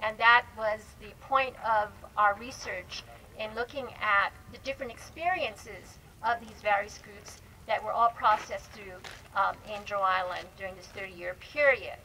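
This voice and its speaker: Bright-voiced woman giving a halting talk. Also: medium pitch, poor audio. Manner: explanatory, halting with self-corrections. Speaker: panel speaker, historian and researcher. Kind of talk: informal public presentation. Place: live panel discussion event. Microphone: event microphone. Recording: live event mic, faint background noise.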